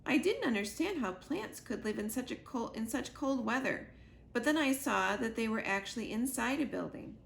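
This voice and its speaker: A woman's voice: a little high, lively intonation, normal speed, creaky, slight background noise. A woman reading a children's book aloud as a young goose earnestly telling his mother about his adventures. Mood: wide-eyed wonder.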